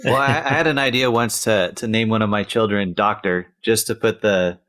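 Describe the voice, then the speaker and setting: A man's voice: fairly low pitch, fairly even intonation, moderate pace, fairly bright tone. A man chatting casually as a podcast co-host, hesitant and often repeating his words. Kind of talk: casual chat.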